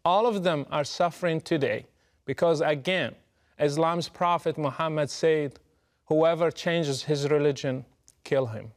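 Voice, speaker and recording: A middle-aged man from Morocco, giving a prepared, deliberate and emphatic address straight to the listener. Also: a little high. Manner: measured, emphatic, deliberate delivery. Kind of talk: prepared direct address to camera.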